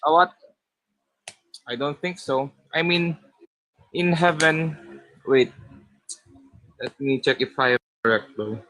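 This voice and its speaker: A man's voice: fairly high pitch, takes it slowly, pauses often, a bit bright, dry recording, poor audio. Casual man from Indonesia, debate guest. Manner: casual, says bro a lot.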